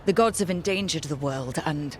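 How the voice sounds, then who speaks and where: A voice actor playing a down-to-earth adventurer who leads a band of companions. The delivery is plain and wry, in an everyday middle-pitched voice. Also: moderate pace, slight background noise, close to an everyday voice. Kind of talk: video game cutscene acting.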